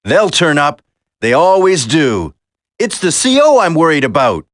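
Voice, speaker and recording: A man playing an earnest, steady special forces operative in a dramatic video game. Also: a little high, unhurried, no echo, clean studio sound. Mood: grave.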